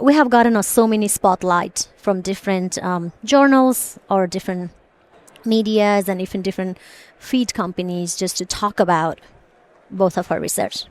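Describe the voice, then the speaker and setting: A middle-aged woman, a professor talking casually in a podcast interview with many ums. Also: medium pitch. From Nepal. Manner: many ums, quick bursts, friendly laughs. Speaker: associate professor, guest.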